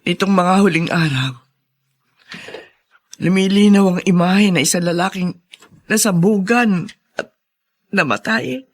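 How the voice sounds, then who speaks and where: A woman's voice: fairly low pitch, a little slow, long pauses. A woman playing a weary older woman haunted by dreams, with a Filipino accent and heavy-hearted radio drama delivery. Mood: troubled. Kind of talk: Tagalog radio drama acting.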